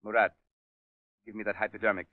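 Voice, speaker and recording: A man's voice: on the low side, level tone, fast talker, dry recording, close to an everyday voice. A man performs a villainous middle-aged doctor of old radio drama. He is formal and exact, with cold menace under polite words. The sound is dull and very dry, like a vintage broadcast. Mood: coldly menacing. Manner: formal, precise, politely sinister. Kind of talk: 1930s radio drama acting.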